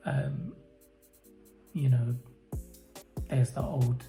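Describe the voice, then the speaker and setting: A man from the UK answering interview questions about his life, hesitant and reflective, with many pauses and fillers. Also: medium pitch, gravelly. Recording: roomy echo, faint music behind.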